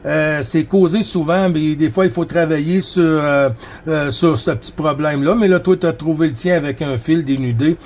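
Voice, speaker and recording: Quebec guy chatting on cb radio, kinda high voice, friendly and rambly. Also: normal speed, a little mellow. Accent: Quebec French. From Quebec, Canada. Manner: friendly, rambling, frequent Quebec filler words. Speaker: CB radio operator. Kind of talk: casual radio chat.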